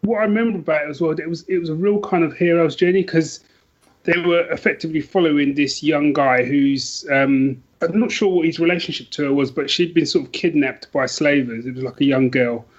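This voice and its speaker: Man, podcast guest, fairly high voice, rambling casual chat, self-effacing, slightly muffled lo-fi sound. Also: a little fast. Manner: chatty, self-deprecating, rambling asides.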